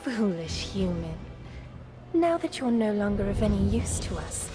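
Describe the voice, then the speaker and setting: A woman's voice: mid-range voice, big pitch swings, a little slow. A woman voicing a condescending, deceitful demon in a wizard's guise, theatrical video game acting. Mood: cold, scornful. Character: female wizard, secretly a deceitful demon, haughty and manipulative. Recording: music behind the voice. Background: game music.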